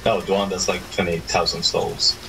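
A man's voice: low-pitched, level tone, moderate pace, creaky, bright, forceful tone, a little hiss or hum, low-quality recording. A tired man playing a game with a friend for the first time, hesitant and stammering as he asks questions. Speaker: co-op partner, new to the game. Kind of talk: casual gaming chat.

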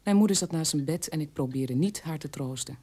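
A low, husky woman's voice plays a hardened nurse. She delivers a cold, matter-of-fact radio drama monologue without pity. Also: fairly quick, mellow. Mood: cold, unsentimental.

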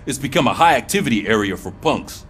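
A man as animated, authoritative police sergeant. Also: medium pitch, quick pace, a little hiss or hum, lightly acted. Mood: businesslike, firm. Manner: authoritative briefing. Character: firm, brisk middle-aged male police sergeant.

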